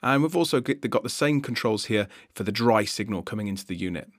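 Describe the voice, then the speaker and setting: Low-voiced man narrating a tutorial. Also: animated, fast talker, no echo, clean studio sound. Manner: explanatory, conversational. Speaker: software tutorial instructor.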